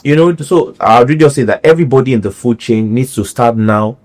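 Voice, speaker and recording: A man's voice: medium pitch, a little fast, a little mellow. Earnest Nigerian man speaking. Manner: earnest, repeats phrases for emphasis. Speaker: actor, podcast guest. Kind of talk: conversational interview.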